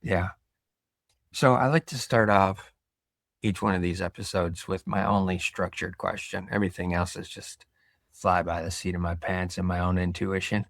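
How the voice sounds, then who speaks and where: Male podcast host, very low voice, even delivery, relaxed and reflective, dry sound. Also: creaky, no room sound. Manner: relaxed, reflective, with filler pauses. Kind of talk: casual interview.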